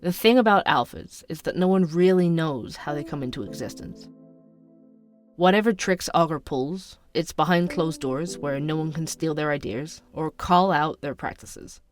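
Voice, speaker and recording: An actor playing a sardonic, suspicious young night-shift barista who narrates a podcast in an audio drama, wry, conspiratorial and conversational. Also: male voice, a little high, normal speed, soft background music, close to an everyday voice.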